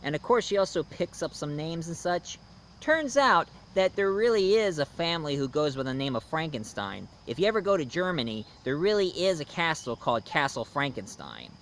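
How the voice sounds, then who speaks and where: A man hosting a casual, unscripted review, talking in a halting, rambling storyteller's way. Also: on the high side, big pitch swings, fairly bright tone. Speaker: host, film and book reviewer. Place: outdoors. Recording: noisy outdoor recording with insects.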